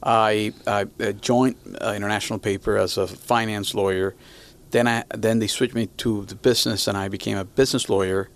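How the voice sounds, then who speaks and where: Gravelly low-voiced man from Venezuela. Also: steady tone, a little fast. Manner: hesitant, restarts often, friendly. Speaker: podcast guest. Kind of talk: conversational interview answers.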